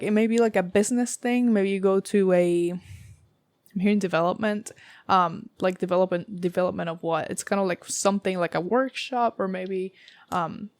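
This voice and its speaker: A young woman with a raspy, sore-throated voice, giving a casual tarot reading in a rambling way, full of fillers and the odd swear word. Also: fairly low pitch, a little mellow, no room sound. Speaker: tarot reader.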